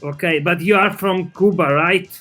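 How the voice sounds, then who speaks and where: A man's voice: high voice, moderate pace, pushed and bright, faint music behind. Friendly Italian-accented man, hosting a video call. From Italy. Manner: hesitant, friendly, apologetic. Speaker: show host. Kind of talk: online video call show.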